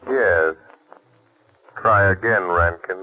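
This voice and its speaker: An invisible male avenger from a 1930s radio thriller. He speaks slowly and deliberately in a deep, forceful voice with a filtered sound, mocking his prey with cool menace. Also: exaggerated voice, rough recording. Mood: mocking, unruffled. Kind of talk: 1930s radio drama acting. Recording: old 1930s radio broadcast.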